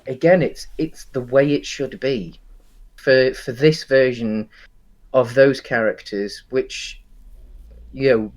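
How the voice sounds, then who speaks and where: A man co-hosting a podcast, discussing film casually in a halting, explanatory way. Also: mid-range voice, steady tone. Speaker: podcast co-host.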